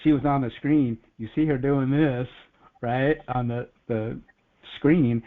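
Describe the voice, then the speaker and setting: A man's voice: mid-range voice, fairly even intonation, a little slow. A man giving an earnest, rambling, casual personal account on a conference call. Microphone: telephone conference call. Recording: phone-like conference call audio.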